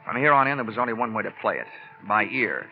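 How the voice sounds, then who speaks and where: A man's voice: lowish voice, lively intonation, fast. A man playing a wisecracking, cool investigator in wry, hard-boiled 1940s radio drama style. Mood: wry narrating. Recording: dull old radio broadcast recording. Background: music.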